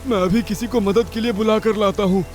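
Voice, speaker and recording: A man's voice: high-pitched, steady tone, fairly quick, darkish tone, faint music behind, lightly acted. An actor playing a lazy but repentant father bird in a cartoon story, earnest and dramatic. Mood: remorseful, determined. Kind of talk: Hindi cartoon story character acting.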